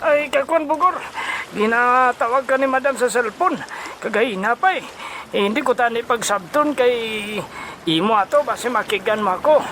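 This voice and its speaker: A man voicing an excitable underling who brings messages to the boss in an audio drama, slightly noisy recording. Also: extremely high pitch, normal speed, exaggerated voice. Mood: hesitant, reporting. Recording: faint noise behind the voice.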